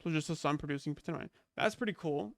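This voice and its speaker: A man's voice: a little high, fast talker, gravelly, dry recording, studio quality. A young man recovering from a cold, rambling casually with lots of fillers as he goes over game leaks. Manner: rambling, many fillers and restarts. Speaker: gaming YouTuber. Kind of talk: casual commentary reviewing leaks.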